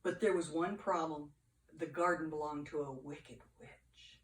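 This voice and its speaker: An older woman reads a storybook aloud expressively, with dramatic pauses and playful asides to children. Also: deep voice. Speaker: grandmother reading to grandchildren. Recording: echoey room, distant mic.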